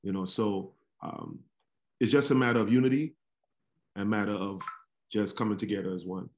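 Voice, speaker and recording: A man from Trinidad and Tobago, a barber, answering interview questions in a halting way with many fillers. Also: lowish voice, fairly even intonation. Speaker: barber, podcast guest.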